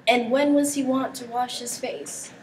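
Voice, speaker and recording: A woman's voice: on the high side, steady tone, unhurried, darkish tone, lightly acted. A teenage girl playing a young, credulous count in an amateur Shakespeare performance. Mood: teasing. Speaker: student performer. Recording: distant mic with background noise.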